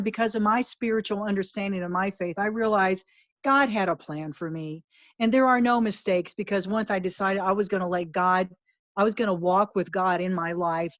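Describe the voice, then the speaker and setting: A woman's voice: mid-range voice, no echo. Earnest woman guest, dull dry audio. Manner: earnest, emphatic, motivational. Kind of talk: candid interview talk.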